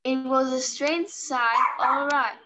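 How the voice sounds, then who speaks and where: Woman reading aloud, slow, fairly high voice, heavy noise on video call. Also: steady tone. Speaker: participant reading a part. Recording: dull, very noisy video call.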